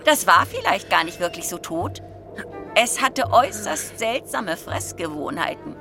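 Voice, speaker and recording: A woman's voice: medium pitch, sing-song, pushed and bright, soft background music, full character voice. A woman playing a menacing, sly horse dealer who taunts and accuses like a blackmailer in a radio drama. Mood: taunting, eerie. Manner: slow taunting questions, accusing.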